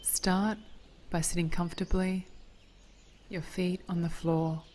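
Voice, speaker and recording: Very slow, soft-toned woman guiding meditation. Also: on the low side, little pitch movement, a little hiss or hum. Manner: soothing, gentle, breathy near-whispered phrase endings. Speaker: meditation guide. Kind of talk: guided body scan meditation. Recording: soft birdsong and nature ambience behind. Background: birdsong, nature ambience.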